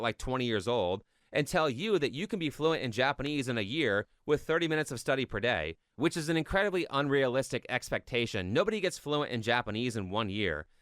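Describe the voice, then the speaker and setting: A man's voice: medium pitch, fairly bright tone, dry recording. Blunt male commentary narrator, dry sound. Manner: blunt, critical, pointed. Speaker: commentary YouTuber.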